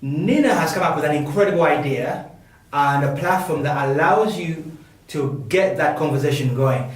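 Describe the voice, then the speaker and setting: A man hosting a casual podcast, upbeat and full of slang as he introduces his guest. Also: a little high. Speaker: podcast host. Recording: very echoey room, far from mic.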